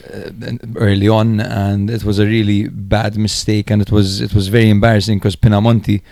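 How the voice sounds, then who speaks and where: A man's voice: lowish voice, flat delivery, brisk, creaky, a little mellow, dry recording. A man co-hosting a podcast, recapping and analysing football matches in an informal, chatty way. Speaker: podcast host.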